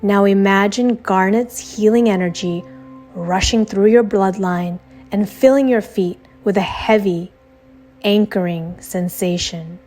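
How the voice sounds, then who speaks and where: Female meditation guide, unhurried scripted read, mid-range pitch, soft music underneath. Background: soft background music.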